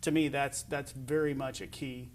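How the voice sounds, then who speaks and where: A man's voice: mid-range voice, little pitch movement, moderate pace, slight background noise. American man hosting a casual interview. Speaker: interview show host.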